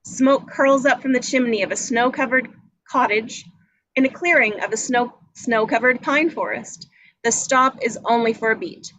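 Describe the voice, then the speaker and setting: A woman's voice: a little high, moderate pace, fairly bright tone, no room sound, low-quality recording. A woman reading screenplay action descriptions aloud as scripted narration at a table read. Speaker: stage directions reader. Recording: noticeable background noise.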